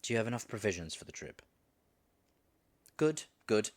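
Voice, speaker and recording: A man's voice: on the low side, very animated, normal speed. A man acting in an audio roleplay as a nervous male dragon disguised as a human guide, stammering and muttering asides to himself. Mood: brisk, feigned confidence.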